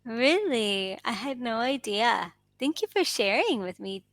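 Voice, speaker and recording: A woman's voice: on the high side, sing-song, unhurried, a bit bright, dry recording. A woman with an American accent chatting casually as an English tutor, encouraging and responsive with frequent affirmations. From United States. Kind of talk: casual one-on-one conversation practice. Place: online video lesson, indoors.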